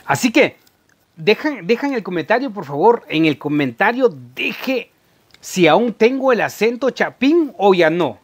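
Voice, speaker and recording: A middle-aged man, chatting casually to camera in a halting, repetitive way as a vlogger. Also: high voice, very animated. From Guatemala. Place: indoor room at home.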